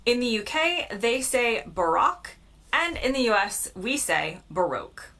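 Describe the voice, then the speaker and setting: A woman's voice: fairly high pitch, sing-song, unhurried, gravelly, bright, forceful tone. A woman with an American accent, narrating a scripted explainer with upbeat, playful emphasis. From United States. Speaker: video creator. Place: played from a video.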